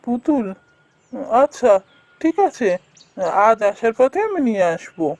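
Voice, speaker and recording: An actor playing a poor, kindly woodcutter father in a cartoon, speaking in a gentle, plaintive, musing way. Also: medium pitch, expressive, a little slow, no echo, close to an everyday voice. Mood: gentle, obliging. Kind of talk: cartoon voice acting.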